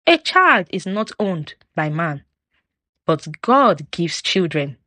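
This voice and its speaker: A woman narrating a folktale from a script in a solemn, expressive, dramatic storytelling style. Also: on the low side, slow pace, no room sound.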